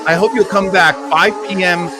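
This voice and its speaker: A man's voice: sits high, steady tone, normal speed, music in the background. A man hosting a live listening show, chatting casually and enthusiastically with his audience. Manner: enthusiastic, effusive. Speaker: host of a live radio-mystery listening show. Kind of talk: casual livestream chat with listeners.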